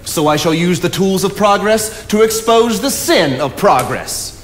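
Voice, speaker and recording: A man's voice: sits high, animated, measured pace. An actor in his thirties playing an indignant, idealistic writer, arguing formally and emphatically in theatrical style. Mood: defensive. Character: indignant, idealistic young nature-loving writer. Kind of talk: theatrical stage acting. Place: theatre stage, indoors. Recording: echoey theatre stage, background hiss.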